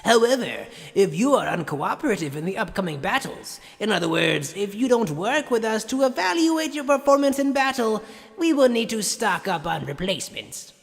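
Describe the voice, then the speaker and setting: A man's voice: high voice, big pitch swings, normal speed, gravelly, heavily acted. A man voicing an arrogant, sadistic mad scientist in an anime fan dub, theatrical and condescending with mock politeness, recorded in long reverb. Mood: smug lecturing.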